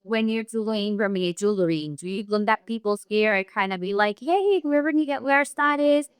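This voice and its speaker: Smooth-voiced woman audience questioner. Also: medium pitch, normal speed, no echo. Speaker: nail tech asking a question.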